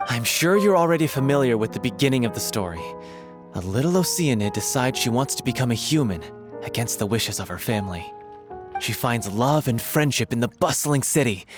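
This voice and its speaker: Excitable troupe scriptwriter, mid-pitched, storytelling steadily, a man voicing him. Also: lightly acted. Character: enthusiastic male troupe scriptwriter. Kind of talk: game voice acting.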